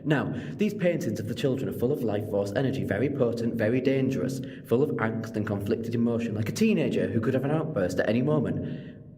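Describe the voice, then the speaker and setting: A man's voice: medium pitch, darkish tone, natural voice. A self-assured male hero of a sci-fi audio drama, played by an actor, cheeky and commanding by turns. He speaks in a mid-range voice with wry confidence, echoing as if in a huge vault. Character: clever, defiant male time-travelling alien hero, cheeky and self-assured. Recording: long cavernous hall reverb.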